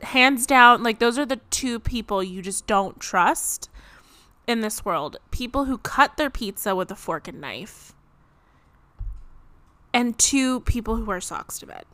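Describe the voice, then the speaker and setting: A woman's voice: a little high, animated, measured pace, bright, forceful tone, dry recording. Woman, podcast host, casual rambling chat, a bit strained, dry close recording. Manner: rambling, chatty, frequent "like" and laughs. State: slightly strained voice. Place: home, seated.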